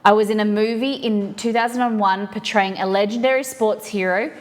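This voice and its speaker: A woman's voice: medium pitch, fairly even intonation, brisk. A woman hosting a live stream, chatting casually and reading trivia clues aloud to her viewers while baking in her kitchen. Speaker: streamer host.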